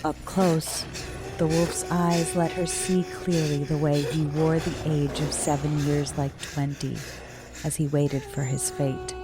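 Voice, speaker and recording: Low-voiced woman telling a dark folk tale. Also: little pitch movement, unhurried, music in the background. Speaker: storyteller, guest writer reading own story.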